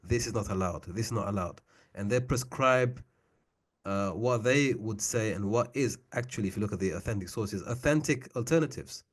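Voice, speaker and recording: A man's voice: on the low side, normal speed, breathy edge. A man leading an informal book club discussion like a teacher, speaking emphatically and repeating phrases for stress.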